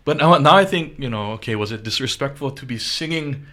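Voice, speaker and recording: A man's voice: medium pitch, animated, a little fast, pushed and bright. A middle-aged man from Hawaii, talking animatedly and laughing in a casual chat.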